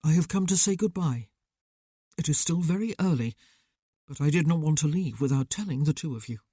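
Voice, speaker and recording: A narrator reading as a weary young man from a storybook, calm and measured, in a dark and dry voice. He holds himself steady and restrained. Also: medium pitch, slightly rough, dry recording. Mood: somber.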